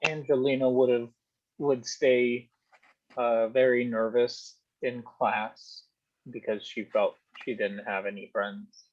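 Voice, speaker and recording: Slow, hesitant male student, muffled sound. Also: mid-range voice, little pitch movement, darkish tone. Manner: hesitant, pausing to think. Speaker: student answering questions. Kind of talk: halting spoken answers.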